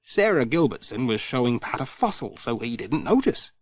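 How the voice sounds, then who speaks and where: A man narrating a scripted children's story in an expressive storytelling manner. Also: medium pitch, normal speed. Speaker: audiobook narrator.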